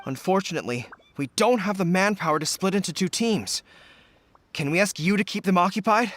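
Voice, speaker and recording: An actor playing an earnest, dutiful young army general in a video game, speaking in a formal military briefing manner. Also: male voice, high-pitched, animated, a little fast, fairly bright tone, no room sound. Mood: relieved. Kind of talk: English video game voice acting. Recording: music behind the voice.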